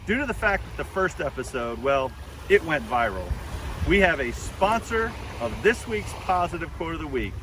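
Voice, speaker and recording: A man's voice: fairly high pitch, sing-song, moderate pace. A man presenting an upbeat on-location video segment outdoors by the sea, in a promo-style announcer delivery. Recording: windy outdoor audio, from a distance. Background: wind and water noise.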